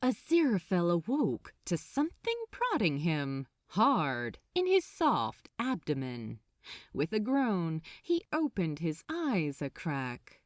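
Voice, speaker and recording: A woman narrating scripted fiction as an audiobook reader, with an expressive delivery. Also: fairly low pitch, slow pace, dry recording, studio quality.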